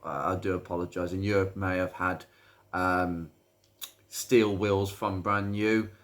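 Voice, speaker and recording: A British man with a slightly croaky voice from hay fever, presenting an informal car video in a chatty, hesitant way with rambling asides. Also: sits low, level tone, unhurried. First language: English. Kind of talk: informal car video commentary, reading out a viewer's message.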